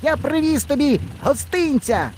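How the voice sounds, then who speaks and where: A man's voice: extremely high pitch, very animated, a little slow, darkish tone, slight background noise, pitched above the performer's own voice, exaggerated voice. A man voicing a plucky, mischievous little boy hero in a children's storybook, eager and exclamatory. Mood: bold shouting.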